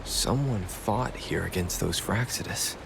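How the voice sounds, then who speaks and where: A man's voice: low voice, measured pace, vocal fry. A man voice-acting a terse, observant young traveler in a video game, speaking matter-of-factly. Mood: focused. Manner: brief, matter-of-fact. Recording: noisy ambience behind voice.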